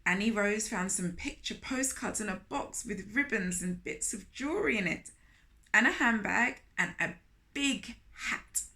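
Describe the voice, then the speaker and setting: A woman reading a picture book aloud to young children, animated and speaking to them directly with questions. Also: mid-range voice. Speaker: children's storyteller, host of a storytime series.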